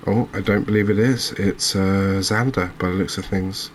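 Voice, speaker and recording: A man with a low voice gives cozy, ASMR-style game commentary. His pitch stays very even as he rambles and muses to himself, and some light noise sits behind him. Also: normal speed. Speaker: gaming channel host.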